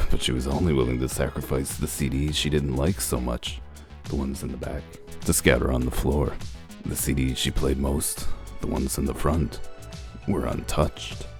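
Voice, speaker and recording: An extremely low, gruff detective voice, acted by a man, dry and deliberate. He lays out his reasoning with smug method, like a hardboiled comic-book narrator, over background music. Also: fairly even intonation, darkish tone. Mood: dry, confident. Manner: dry, deliberate, self-assured explaining. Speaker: detective character and first-person narrator. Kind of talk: comic dub acting, detective monologue. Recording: music under the voice.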